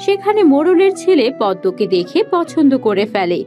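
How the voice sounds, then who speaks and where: A woman's voice: high-pitched, big pitch swings, normal speed, over music. A woman narrating a scripted fairy tale for a cartoon.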